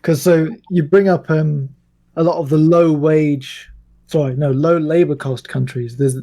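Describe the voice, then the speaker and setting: A man's voice: on the high side, steady tone, unhurried, mellow. A man in a casual podcast discussion, speaking tentatively and often correcting himself. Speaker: podcast panelist.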